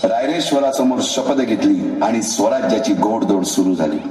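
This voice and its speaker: A man's voice: fairly low pitch, expressive, moderate pace, mellow, natural voice. A man delivering dramatic scripted narration for a stage play. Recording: reverberant hall, distant mic, music.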